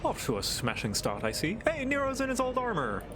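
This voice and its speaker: A man's voice: extremely high pitch, wildly swooping pitch, fairly quick, heavy background noise. Laid-back American-accented man streaming. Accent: general American. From grew up in Thailand. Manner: rambling, laid-back, lots of "like" and restarts. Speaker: game streamer. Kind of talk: casual gaming stream chat. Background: game music.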